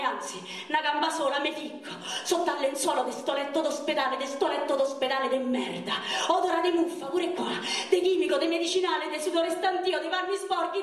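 A woman's voice: high voice, lightly acted. A woman on stage playing a wounded, pleading young man, with a Roman accent and raw, colloquial, repetitive speech. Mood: frightened, pleading. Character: wounded young man of thirty-one, pleading, wistful, foul-mouthed. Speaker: stage actor. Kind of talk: theatrical monologue in dialect. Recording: echoey theatre, distant microphone.

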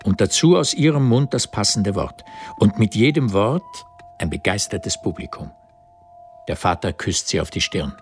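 A man's voice: lowish voice, lively intonation, moderate pace, faint music behind. A man narrating a historical radio drama as its scripted literary storyteller. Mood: observant.

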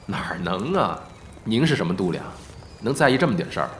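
A man's voice: on the low side, sing-song, fairly quick, a bit bright. A man playing a cool, casual celebrity in an audio drama, talking in a dry, wry way. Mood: evasive. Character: cool, casual male celebrity hiding his feelings. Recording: clearly noisy background ambience.

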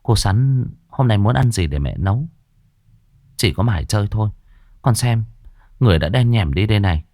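A man's voice: fairly low pitch, lively intonation, moderate pace, darkish tone, no room sound, clean studio sound, pitched above the performer's own voice. Guy putting on a mom's voice, fond and scolding a bit. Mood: teasing. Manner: affectionate, lightly scolding. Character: fond scolding village mother.

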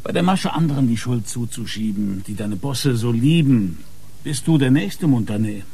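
An actor playing a hard, sarcastic police inspector grilling suspects in a radio crime drama, pressing and mocking. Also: male voice, mid-range voice, expressive, normal speed, dark tone, husky. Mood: aggressive, insistent.

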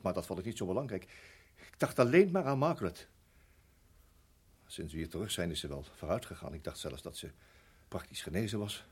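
An actor in a radio play playing a weary, anguished husband who speaks earnestly and haltingly, repeating his words. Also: fairly low pitch, a little mellow, breathy edge.

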